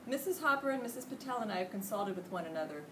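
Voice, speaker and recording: A woman's voice: medium pitch, lively intonation, fast, close to an everyday voice. A woman reading a storybook aloud as a stern, no-nonsense female teacher, firm and clipped. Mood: formal announcing. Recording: noisy room, distant microphone.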